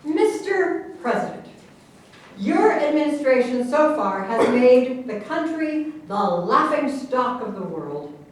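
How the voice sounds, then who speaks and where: In a very echoey room, away from the mic, a woman acts out a firm female presidential candidate on stage. The voice is fairly high. She sounds earnest, accusing and indignant. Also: very animated, slow, full character voice. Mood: reproachful. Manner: reproachful, earnest. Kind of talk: staged play reading, also reads stage directions. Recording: echoey room, distant mic, faint noise.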